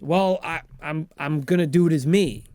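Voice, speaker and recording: Guy doing an earnest confused teen boy, voice pushed up high, stammers a lot, dry sound. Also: normal speed, a little mellow, no echo, lightly acted. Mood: hesitant. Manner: hesitant, stammering. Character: earnest, confused teenage boy werewolf from a film recap.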